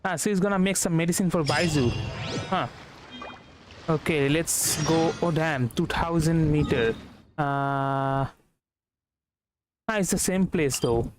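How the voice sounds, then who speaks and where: A man's voice: a little high, measured pace, long pauses, music in the background. A man giving casual, sleepy, rambling live commentary as he streams a game. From India.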